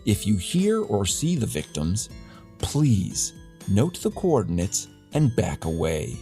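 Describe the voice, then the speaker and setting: A man playing a pompous, deadpan host of a satirical dystopian radio newscast, with florid, arch delivery. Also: fairly low pitch, slow pace, exaggerated voice. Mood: ominous. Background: music.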